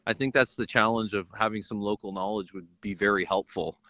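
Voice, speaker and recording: A man's voice: lowish voice, fairly even intonation, sharp tone, dry recording. A man being interviewed who rambles and stops and starts in casual chat. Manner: rambling, halting, with laughs. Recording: dull, lo-fi sound.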